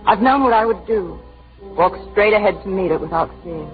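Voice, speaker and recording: An actress playing a wistful, bravely resigned young woman on the run in 1940s romantic radio drama, with a poetic, measured delivery. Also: medium pitch, lightly acted. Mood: tender, wistful. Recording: muffled 1940s radio recording with music.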